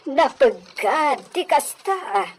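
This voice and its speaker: Slow-talking skeptical village woman voiced by an actress. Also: sits high, expressive, exaggerated voice. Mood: doubtful. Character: skeptical, level-headed village woman, gossiping confidante. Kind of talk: Ilocano audio drama acting.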